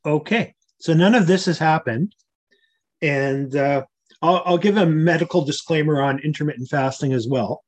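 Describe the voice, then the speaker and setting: A man in his early sixties, an interview guest, explaining health matters informally in a measured way. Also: on the high side.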